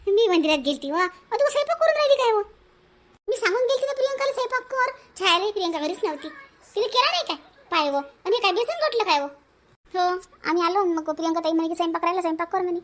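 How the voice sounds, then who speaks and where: A woman's voice: very high-pitched, lively intonation, fast talker, fairly bright tone. A woman voicing village women in a family cartoon, bickering in-laws, speaking Marathi with a Varhadi accent. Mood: chatty.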